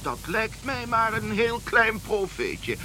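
A blunt, grumpy old king with a very high, piercingly bright voice. He mocks and sputters at an unhurried pace, in a hissy vintage radio drama. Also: big pitch swings, full character voice, rough recording. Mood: sceptical, mocking. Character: Kaspar, grumpy sceptical comfort-loving old king. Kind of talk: Dutch radio play acting.

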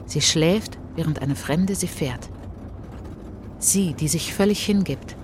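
An actress playing a reflective French woman traveller in a radio play monologue, speaking in a measured, intimate, literary way. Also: deep voice, background noise. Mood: tender wonder.

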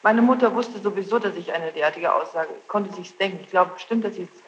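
A woman's voice: medium pitch, fast. A woman answering questions as a witness, defensive and repeating herself. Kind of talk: answering questions under examination. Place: courtroom. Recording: echoey, muffled, noisy archival courtroom tape.

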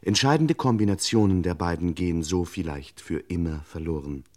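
A man's voice: low voice, normal speed, a little mellow, lightly acted. A man playing a pompous, mock-solemn narrator of a radio crime parody, speaking in ornate, courteous phrases with dry irony. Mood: mock-regretful. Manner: ornate, mock-formal, addresses listeners with exaggerated courtesy. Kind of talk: scripted radio crime serial narration, ironic.

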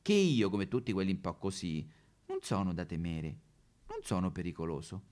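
An actor playing a dreamy, flamboyant son in a theatrical comic monologue, effusive and poetic. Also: male voice, deep voice, animated, normal speed, a little mellow. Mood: earnest, reassuring. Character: dreamy, flamboyant son confessing to his father.

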